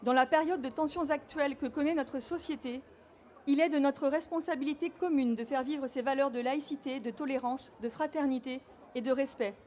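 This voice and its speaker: A woman from Normandy giving a measured, solemn official speech at a ceremony. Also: sits high, a little mellow. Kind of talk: formal read speech.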